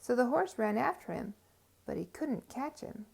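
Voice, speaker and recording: A woman storyteller reading a children's tale aloud with expressive, emphatic phrasing. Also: a little high, normal speed, vocal fry, slightly rough.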